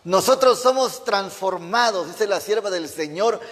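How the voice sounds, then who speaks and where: A pastor with a notably high voice for a man, preaching with fervour and firing questions at his flock. His words ring out with the long reverb of a church hall. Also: lively intonation, a little slow, fairly bright tone. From Mexico. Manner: fervent preaching with rhetorical questions to the congregation. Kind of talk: sermon.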